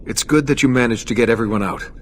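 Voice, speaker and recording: An actor playing a serious, level-headed male mutant team leader in a video game, speaking in a measured, authoritative briefing tone. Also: mid-range voice, a little hiss or hum, natural voice. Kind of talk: video game cutscene acting. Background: game music score.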